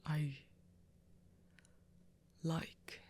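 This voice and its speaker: A performer playing a flirty, earnest vampire in an ASMR romance roleplay, speaking haltingly and intimately in a husky, often half-whispered voice. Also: a little mellow, a little hiss or hum. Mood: tender, reassuring. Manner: hesitant, halting, breathy and intimate. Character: flirty, earnest vampire confessing love, soft-spoken.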